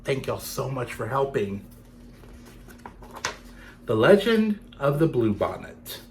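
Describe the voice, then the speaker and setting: A man's voice: mid-range voice, sing-song, takes it slowly, a little mellow, slight background noise. A man reading a picture book to children, playfully addressing young listeners as he goes. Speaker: storytime reader for children.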